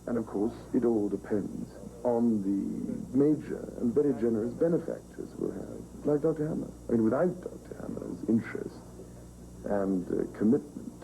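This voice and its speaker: A man's voice: medium pitch, expressive, moderate pace, dark tone, slight background noise, low-quality recording. A man telling wry anecdotes in a documentary interview.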